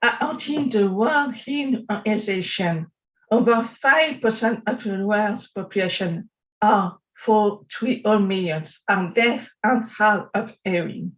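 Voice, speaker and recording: Slow-speaking deaf French woman. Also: medium pitch, highs cut off. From France. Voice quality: deaf speech. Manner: slow, careful speech with pauses. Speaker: conference speaker. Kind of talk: prepared conference talk.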